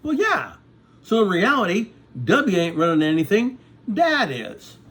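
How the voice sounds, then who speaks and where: Man, sixties, high-ish animated voice, meandering vlog monologue with frequent asides to viewers. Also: measured pace. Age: 60s. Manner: conversational, repeats "okay?" to check in with viewers. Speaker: vlogger. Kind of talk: rambling casual monologue.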